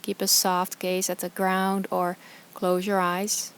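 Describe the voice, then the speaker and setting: Soft soothing woman yoga teacher doing a guided meditation, barely any pitch movement, some background noise. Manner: calm, soft, soothing, with slow pauses between phrases.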